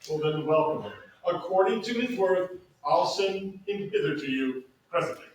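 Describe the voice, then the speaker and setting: A man in a staged Shakespeare reading playing a genial, dignified duke and father, with a deliberate, slightly halting delivery. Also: high-pitched, lively intonation. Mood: genial, formal. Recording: distant, very echoey room, faint noise.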